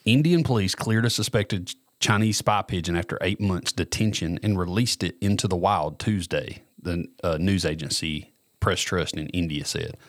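A man's voice: sits low, normal speed, no echo, studio quality. A man, co-hosting a podcast, reading a news story aloud and often tripping over names. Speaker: podcast co-host. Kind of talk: casual chat, reading a news article aloud.

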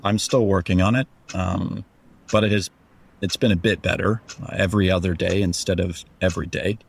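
Low, gravelly, depressed young man. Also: fairly even intonation, full character voice. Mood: hesitant, subdued. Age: young adult. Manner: hesitant, halting, downbeat. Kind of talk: horror audio drama acting.

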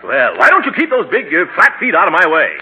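An actor playing a pompous, stubborn middle-aged uncle who blusters and chuckles in a 1940s radio comedy. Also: male voice, high-pitched, huge pitch swings, fast talker, bright, forceful tone, exaggerated voice. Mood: blustering, indignant. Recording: muffled, noisy old radio recording.

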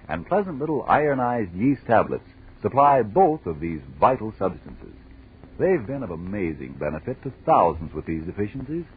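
A man's voice: fairly low pitch, big pitch swings, moderate pace, a little hiss or hum. An American man announcing in a dramatic, declamatory 1940s radio style. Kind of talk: 1940s radio horror show announcing and ad reading. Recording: tinny, band-limited 1940s radio recording.

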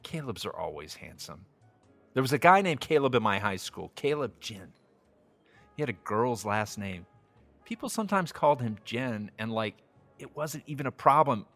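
A man's voice: medium pitch, very animated, moderate pace, fairly bright tone, soft background music. An actor playing an awkward, evasive young man on a blind date in an audio drama comedy, hesitant, stammering and apologetic. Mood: wistful. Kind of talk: audio drama acting, romantic comedy dialogue.

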